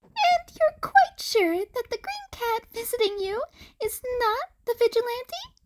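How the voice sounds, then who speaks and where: A woman's voice: very high voice, very animated, measured pace, pitched above the performer's own voice, full character voice. A woman voicing a small, rat-like male principal, polite and pleasant with a sly, probing manner. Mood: pleasantly sly.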